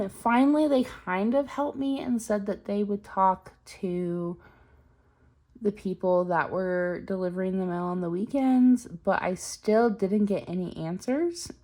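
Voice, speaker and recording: A chatty young woman vlogging, her voice a little strained as she gets over a cold. She rambles along with plenty of ums, pitched a bit low. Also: a little mellow. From Utah. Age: 20s. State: recovering from a cold, slightly strained voice. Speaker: planner vlogger. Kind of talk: casual storytelling vlog.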